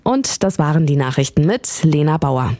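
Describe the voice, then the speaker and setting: A woman newsreader reading the news in a measured, scripted way on the radio. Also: low-pitched, no echo, clean studio sound. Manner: even, measured delivery. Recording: music bed behind voice.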